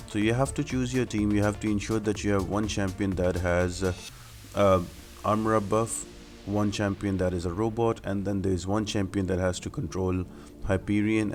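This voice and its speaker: A man with a low-pitched voice talks through a mobile game strategy guide. He explains things casually and step by step, as a gaming channel host would. Music plays clearly behind his narration. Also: a little mellow. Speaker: video game guide YouTuber. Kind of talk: casual gameplay walkthrough narration. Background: music under the voice.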